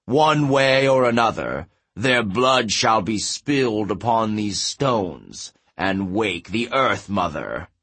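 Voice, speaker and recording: A man's voice: lowish voice, animated, takes it slowly, few pauses, fairly bright tone, heavily acted. A man voicing a pompous, commanding giant king in a growling fantasy audiobook voice. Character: imperious giant king, booming, scornful, commanding.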